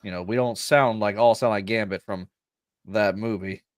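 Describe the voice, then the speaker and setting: A man's voice: on the low side, steady tone, moderate pace, dry recording, clean studio sound. A man from Louisiana with a Southern accent, co-hosting a livestream and teasing the others in casual chat. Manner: teasing, joking. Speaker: podcast co-host.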